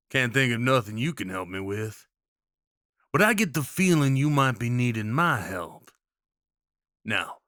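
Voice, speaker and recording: A man's voice: lowish voice, bright, forceful tone, dry recording, studio quality. A man playing a friendly, courteous Old West cowboy in an audio roleplay, with a folksy drawl and rustic slang. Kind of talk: audio roleplay acting, addressing the listener.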